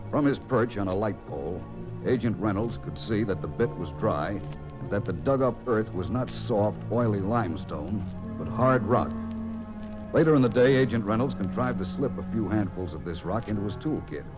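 A man with an American accent narrating a vintage radio crime drama as a formal, measured official report. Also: lowish voice, animated, lightly acted, rough recording. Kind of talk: 1950s radio documentary-style scripted narration. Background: music.

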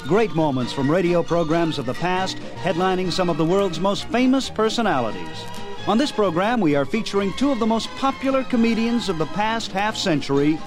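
A man's voice: high voice, lively intonation, normal speed, over music, rough recording. A man hosting a nostalgic radio program, reading a scripted introduction in a presenter's style.

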